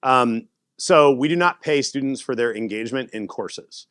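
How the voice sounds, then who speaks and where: Casual animated man presenting. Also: mid-range voice, normal speed, clear, resonant tone, no room sound, studio quality. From United States. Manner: casual, animated, laughs often. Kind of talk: conference talk with Q&A.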